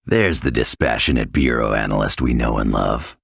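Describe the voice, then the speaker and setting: An actor playing a cynical, hard-bitten undercover tough guy in a video game, gravelly and husky, with a blunt, sardonic delivery. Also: very deep voice, normal speed, fairly bright tone, dry recording, studio quality, heavily acted. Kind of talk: video game cutscene acting.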